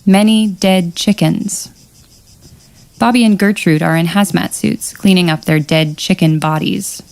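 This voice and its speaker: A woman's voice: lowish voice, unhurried, creaky, a little mellow. A woman reading stage directions as scripted narration for an audio drama.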